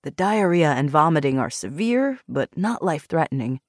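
A woman voicing a calm, unworried male doctor in a novel, in a matter-of-fact manner. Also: low voice, moderate pace, no room sound, clean studio sound.

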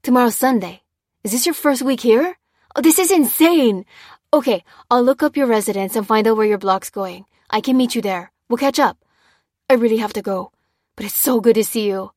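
A woman voicing an excited, warm-hearted male army major in an audiobook, emphatic and hurried. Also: fairly high pitch, no room sound, clean studio sound, close to an everyday voice.

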